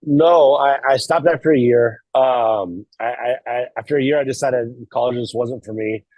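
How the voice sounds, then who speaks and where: A man's voice: mid-range voice, moderate pace, dry recording, muffled recording. A man from California, a documentary filmmaker guesting on an interview show, talking in an easygoing way and laughing often. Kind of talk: casual interview chat.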